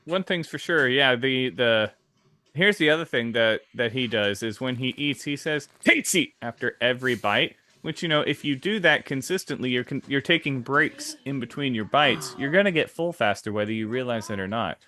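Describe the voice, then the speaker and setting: A man's voice: mid-range voice, normal speed, bright, forceful tone. A man co-hosting a podcast in casual chat, talking with enthusiastic emphasis. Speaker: podcast co-host.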